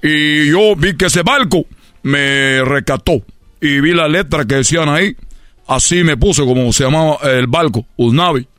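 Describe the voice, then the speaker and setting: A man's voice: fairly high pitch, unhurried, a bit bright, no room sound, clean studio sound, soft background music. A man playing a boastful Cuban baseball player with a Cuban accent in a comedic radio sketch, rambling and tripping over his words. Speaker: comedy character on a radio show.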